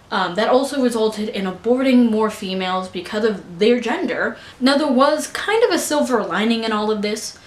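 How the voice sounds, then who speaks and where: A young American woman talking earnestly to camera in a personal vlog, stumbling and restarting her sentences now and then. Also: medium pitch. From United States. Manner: earnest, frequent fillers and restarts. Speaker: vlogger. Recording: echoey room.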